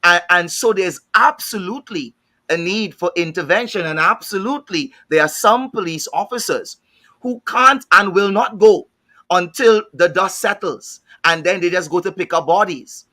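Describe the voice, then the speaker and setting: A man's voice: high voice, moderate pace, sharp tone. A man with a Trinidadian accent speaking on a panel discussion, thoughtful, often repeating words while he searches for the next thought. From Trinidad and Tobago. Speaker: panel guest.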